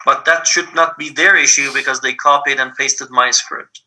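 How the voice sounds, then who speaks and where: A man from Iran with a Persian accent, teaching an online programming lab and explaining things patiently with frequent pauses. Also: medium pitch, fairly even intonation, pushed and bright, poor audio. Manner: patient, explains step by step with many ums. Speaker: lab instructor. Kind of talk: live online coding lab.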